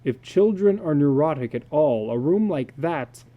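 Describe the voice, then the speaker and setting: A man's voice: a little high, animated, unhurried, phone-quality sound. A man reading the part of a stern, troubled father who is frustrated with his children. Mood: troubled, brooding.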